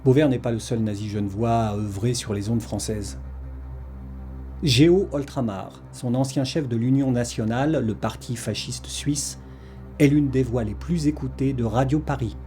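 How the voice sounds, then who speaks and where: Guy doing a scripted history documentary voiceover, mellow voice, music playing underneath. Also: mid-range voice, moderate pace. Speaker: documentary narrator.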